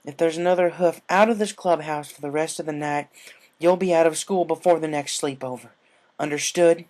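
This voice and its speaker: Storybook role: man as a firm, protective farm pony mare, folksy and plain-spoken, pitched up. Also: steady tone, a little fast, darkish tone, close to an everyday voice. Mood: angry scolding. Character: protective, firm adult female farm pony, motherly friend.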